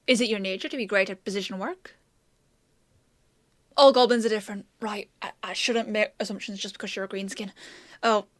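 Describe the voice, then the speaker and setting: Woman acting a high-voiced, flustered fantasy apothecary. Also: a bit bright, no room sound, studio quality. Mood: impressed, curious, then flustered, apologetic. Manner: hesitant, stammering, emotive, conversational. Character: fantasy apothecary woman, flustered, gruff but well-meaning. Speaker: voice actor in an audio roleplay. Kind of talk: intimate scripted audio roleplay monologue.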